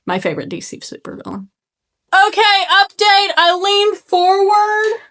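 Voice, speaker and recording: A young woman from Arkansas with a Southern accent and a slight lisp, chatting casually in a makeup vlog, self-deprecating and quick to laugh at her own slips, filmed on a phone at home. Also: very high voice, sing-song, fairly bright tone. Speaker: makeup vlogger. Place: at home, seated. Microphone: phone camera.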